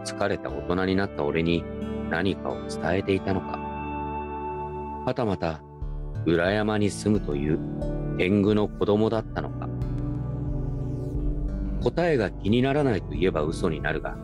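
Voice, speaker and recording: A man narrates a radio drama in the first person from a script. His voice is low, and guitar music plays softly behind him. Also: expressive, unhurried, long pauses.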